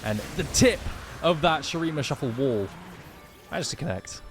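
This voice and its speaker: A man's voice: a little high, animated, normal speed, faint music behind. A man casting a live esports match, giving breezy play-by-play and analysis. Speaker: esports caster.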